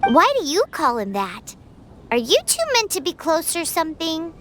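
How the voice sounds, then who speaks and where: A woman voicing a tiny, chirpy, blunt fairy companion with a squeaky childlike voice in an anime-style game. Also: very animated, moderate pace, background noise. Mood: curious. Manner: speaks of self in third person, exclamatory.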